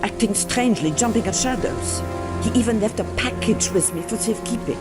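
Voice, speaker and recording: A reserved woman scholar played by an actress, stern and full of suspicion. Her pitch is average for a woman. She speaks in a video game cutscene with loud music underneath. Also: lively intonation, fairly quick, natural voice. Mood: uneasy, reserved. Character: suspicious, reserved, stern female Louvre academic.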